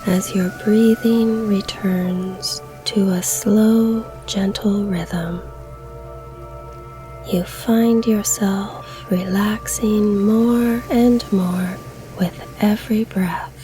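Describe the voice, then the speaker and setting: A woman guides a meditation at a slow, unhurried pace. Her voice is mellow, soft and breathy, with soothing phrasing and long pauses. Quiet music plays faintly behind her. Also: medium pitch. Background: calm background music.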